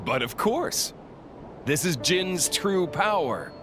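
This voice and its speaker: Sneering, slow-talking theatrical villain. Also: male voice, sits high, very animated, background noise, full character voice. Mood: taunting triumph. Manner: mocking, drawn-out taunting delivery. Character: sneering, theatrical male villain, mocking and taunting. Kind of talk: video game voice acting.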